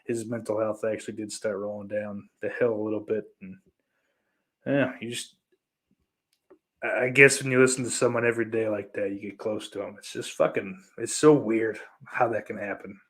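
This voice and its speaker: A man's voice: lowish voice, steady tone, moderate pace, fairly bright tone. A man hosting a podcast, chatting casually and answering listener comments in a blunt, profane way. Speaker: podcast host.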